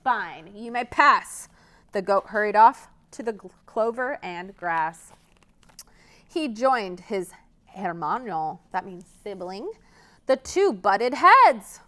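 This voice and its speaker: A woman reading a children's story aloud as a library storyteller, expressive, pausing to ask and explain. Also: medium pitch.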